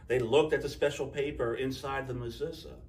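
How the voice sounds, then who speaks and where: A man reading a picture book aloud to children in an expressive storyteller's style, acting out the dialogue. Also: medium pitch, normal speed, distant mic, slight background noise.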